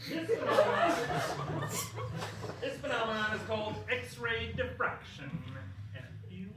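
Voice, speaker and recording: A man giving a theatrical dramatic reading of textbook prose, mock-dramatic and halting, with deliberate pauses. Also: extremely high pitch. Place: indoor theater, live performance. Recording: noisy, echoey theater, recorded from far off.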